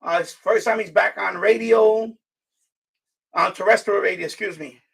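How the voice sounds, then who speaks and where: High, creaky, husky-voiced man live streaming. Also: steady tone, a bit bright, distant mic. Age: middle-aged. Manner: halting, rambling, self-correcting. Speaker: live streamer, radio hobbyist. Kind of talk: casual live stream chat.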